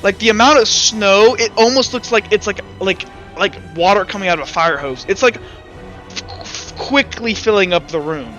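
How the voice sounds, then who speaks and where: A man narrating a tabletop roleplaying game as game master, in halting, improvised, stop-start phrases. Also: high-pitched, sharp tone, dull audio, soft background music.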